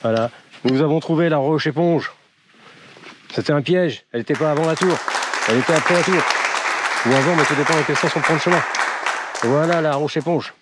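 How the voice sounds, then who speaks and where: A man's voice: on the high side, fairly quick, long pauses, a crowd in the background, noisy background. A chatty man with a slight cold. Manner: chatty and rambling, with many fillers and wry jokes. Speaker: vlogger walking with his dog. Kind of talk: casual walking vlog narration. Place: outdoors on forest trails.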